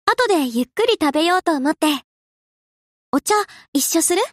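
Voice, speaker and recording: A woman's voice: high-pitched, animated, normal speed, dry recording, studio quality. A woman voicing a kind, chatty young anime girl in a game, conversational and friendly. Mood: warm, happy.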